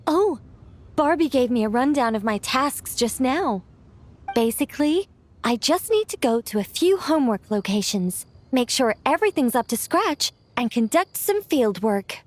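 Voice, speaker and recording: A woman's voice: sits high, sing-song, moderate pace. An actress playing a whimsical, composed female mage in a video game, with a leisurely, musing and playfully evasive manner. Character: whimsical, composed female mage, once an angel, young-sounding. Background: background music.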